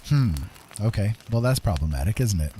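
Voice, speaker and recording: A man's voice: on the low side, expressive, a little fast. A man doing casual live gameplay commentary, musing and thinking aloud in a rambling, self-directed way. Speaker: game streamer. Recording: clear background game noise. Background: video game sounds.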